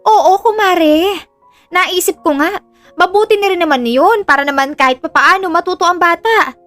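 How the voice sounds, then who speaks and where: Woman playing kind filipino lady of the house, radio drama, high bright voice. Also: normal speed, dry recording, faint music behind. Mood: reassuring. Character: kindly, reassuring woman, a household's mistress.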